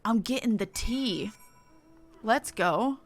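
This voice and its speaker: A woman's voice: a little high, takes it slowly, faint music behind. A woman game streamer giving casual, playful commentary as she plays.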